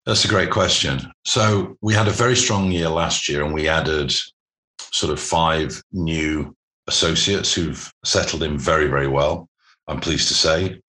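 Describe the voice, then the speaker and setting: A man speaking as an executive guest in a business interview, explaining things in measured, articulate corporate phrasing. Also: very deep voice, sharp tone.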